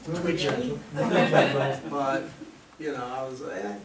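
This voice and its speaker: A man's voice: medium pitch, fairly even intonation, very slow, a loud crowd. A man, a playwright, talking informally and hesitantly in a question-and-answer session, playing himself down. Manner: hesitant, self-deprecating. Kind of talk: informal question-and-answer after a play reading. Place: room at a live play reading. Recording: roomy distant mic, faint noise.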